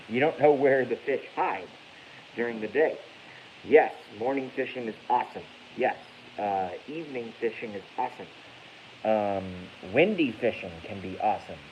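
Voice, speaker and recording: A man's voice: mid-range voice, normal speed. A man in his thirties from Massachusetts, ranting casually on a live stream in a rambling, profane way that often corrects itself. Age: 30s. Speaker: YouTube streamer. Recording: dull lo-fi with faint hiss.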